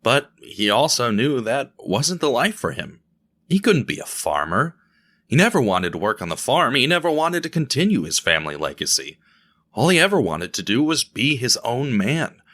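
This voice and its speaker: A man's voice: mid-range voice, sing-song, normal speed, fairly bright tone. A man narrating an audio drama in a tense, building manner. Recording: music underneath.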